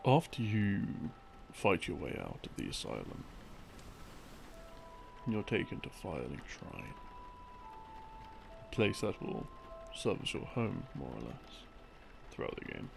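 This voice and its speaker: Low, very gravelly man rambling softly to sleep. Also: wildly swooping pitch. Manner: halting, unhurried, musing, trailing off. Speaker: audio creator playing a rambling boyfriend. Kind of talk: sleep-aid ASMR ramble, explaining from memory. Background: soft background music.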